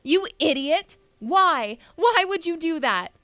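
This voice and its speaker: Woman acting a high, fiery young princess. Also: expressive, takes it slowly, a bit bright, no room sound, heavily acted. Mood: upset, scolding. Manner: emotional, exclamatory delivery. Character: brave, fiery young princess, shaken and fiercely protective. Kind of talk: audio drama acting.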